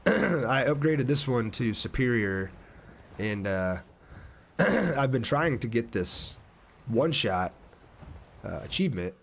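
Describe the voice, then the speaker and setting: A man livestreaming his gameplay in a middling-pitched voice. He talks casually to his chat, laid-back and chatty, reading comments and thinking aloud as he goes. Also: sing-song, slow, gravelly, long pauses. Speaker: game streamer.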